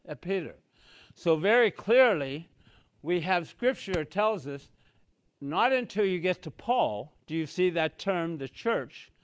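A man's voice: a little high, dry recording. Older man teaching Scripture, emphatic. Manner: emphatic, repeats "so very clearly", gestures with both hands. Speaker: Bible teacher. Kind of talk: expository Bible teaching. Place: small church room with whiteboard, indoors. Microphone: podium mic, walks away from it.

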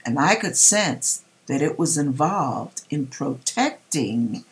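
A middle-aged woman reading aloud and commenting in a deliberate, dramatic way with pauses, recorded in a home kitchen. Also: low-pitched, expressive. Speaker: vlogger reading a viewer's dream and commenting. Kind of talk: expressive read-aloud with religious commentary.

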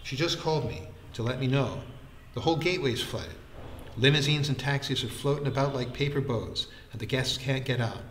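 A man's voice: mid-range voice, brisk, fairly bright tone, echoey room, slight background noise, close to an everyday voice. A man voicing a witty, teasing young woman in an audiobook, wry and breezy. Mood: wry amusement.

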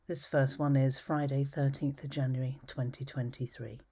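Low, husky woman reading poems. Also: fairly even intonation. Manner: measured, thoughtful reading with soft whispered phrase endings. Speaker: poet. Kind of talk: poetry reading. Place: home study with bookshelves, indoors.

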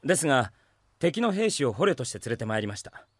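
A man playing an earnest, steady young knight in an anime audio drama. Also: medium pitch, quick pace, no room sound, clean studio sound. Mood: dutiful.

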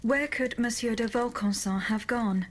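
An actress playing a loyal, reserved servant of a queen in a video game, speaking in a formal, measured, terse way. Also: a little high, slight background noise, lightly acted. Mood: composed, resolute. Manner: measured, terse, solemn questioning. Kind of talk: dramatic video game dialogue.

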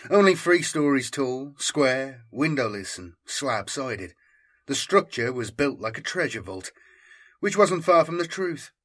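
A man narrating a science fiction audiobook in a measured, deliberate, dramatic storytelling manner. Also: mid-range voice, fairly bright tone.

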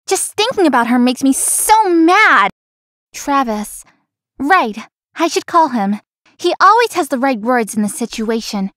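A woman playing a feisty young florist in a visual novel, earnest and expressive. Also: sits high, measured pace, dry recording, studio quality, heavily acted. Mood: resentful. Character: feisty young female florist, polite but privately resentful.

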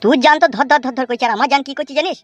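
A woman's voice: high-pitched, racing, no echo, soft background music, lightly acted. A woman voicing a worried, blunt young village man in a comedy cartoon, speaking in slang. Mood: fearful. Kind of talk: Bangla comedy cartoon dialogue.

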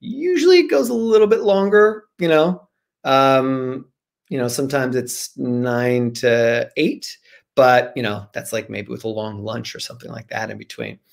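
A man in his forties, an author guest, casually answering questions over a video call from a room at home in an animated, jokey way. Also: medium pitch, measured pace, vocal fry.

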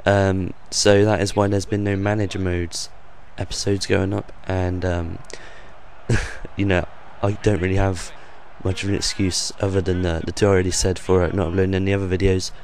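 A young man with a croaky voice hosting his gaming channel, rambling off the cuff with lots of ums and uhs over game footage. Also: sits low, flat delivery, moderate pace, a little mellow, a little hiss or hum.

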